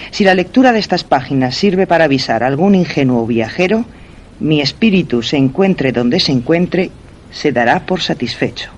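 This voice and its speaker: An actress with a Castilian Spanish accent playing a playful, evasive wife in a suspense radio drama. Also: female voice, sits low, lively intonation, moderate pace, darkish tone, highs cut off, a little hiss or hum. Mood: solemn reading.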